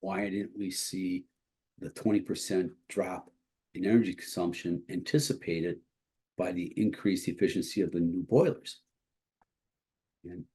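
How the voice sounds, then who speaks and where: A man giving an informal instructional presentation as a college instructor, explaining haltingly with a congested, slightly husky voice that is starting to give out. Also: sits low, dark tone. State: congested, starting to lose his voice.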